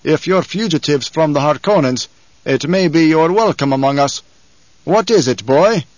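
A man's voice: on the high side, lively intonation, no room sound, dull audio, lightly acted. A man playing a commanding, stern desert chieftain. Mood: wary, probing. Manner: formal, measured, authoritative. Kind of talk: audiobook character acting.

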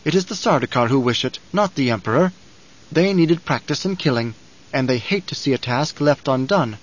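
A man's voice: medium pitch, animated, normal speed, dull audio, natural voice. A man voicing a stern, probing male count in an audiobook, speaking formally and pointedly with veiled threat. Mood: insinuating.

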